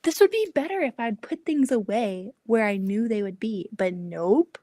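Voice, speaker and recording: A woman hosting a casual sewing livestream, talking in a chatty, scattered, self-deprecating way with a soft voice. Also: fairly high pitch, expressive, normal speed, no room sound. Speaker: livestream host.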